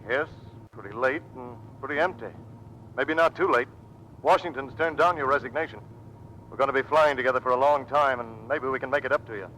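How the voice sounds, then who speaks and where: A male actor plays a hearty American naval aviator with a bright, punchy voice. He speaks earnestly in classic radio-drama style. Faint noise sits behind the old recording. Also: medium pitch, brisk, close to an everyday voice, low-quality recording. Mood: contrite, hearty. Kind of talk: 1940s-50s radio drama acting.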